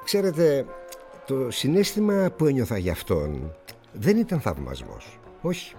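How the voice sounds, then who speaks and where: An actor playing a worldly, condescending older newspaper publisher in a radio drama, speaking with musing pauses. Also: male voice, medium pitch, very animated, mellow, soft background music. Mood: patronizing. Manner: theatrical, patronizing, with musing pauses.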